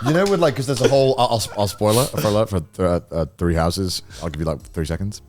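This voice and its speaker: A man chatting casually as a podcast co-host, blunt and animated, with swearing. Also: on the low side, quick pace, slight background noise. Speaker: voice actor. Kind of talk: casual banter.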